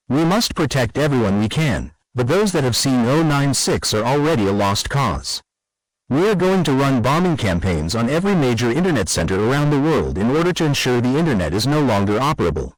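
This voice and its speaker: A man's voice: medium pitch, sing-song, fairly quick, dry recording, lightly acted, rough recording. A man playing a grave official who reads a formal scripted emergency message to staff. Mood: grave, urgent. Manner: serious, official. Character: grave male official addressing secret foundation staff.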